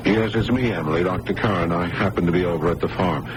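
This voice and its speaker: A man acting in a 1980s radio drama as an earnest doctor who researches the paranormal and gets halting and rattled. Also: sits low, close to an everyday voice. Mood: grave, concerned. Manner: halting, stammering under pressure. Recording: noisy old radio broadcast recording.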